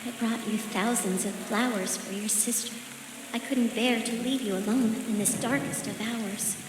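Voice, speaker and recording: A woman's voice: fairly high pitch, steady tone, normal speed, natural voice. An actress playing a devout, consoling woman in a video game, solemn and preachy in delivery. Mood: solemn sympathy. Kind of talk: dramatic video game dialogue. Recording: echoey reverberant hall with rain noise.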